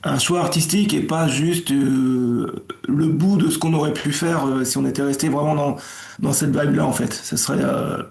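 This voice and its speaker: A French man in a band, answering casually in a relaxed, rambling way. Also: a little high, normal speed, gravelly. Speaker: band member. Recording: roomy echo.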